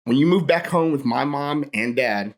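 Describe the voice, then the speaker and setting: A man's voice: mid-range voice, lively intonation, moderate pace. A young man from Hawaii, a pastor and podcast guest, telling stories in a casual chat and laughing often.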